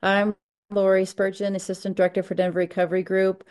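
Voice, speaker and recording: A woman's voice: mid-range voice, flat delivery, fast, darkish tone. A woman who is an assistant director, introducing herself in a bubbly, enthusiastic way.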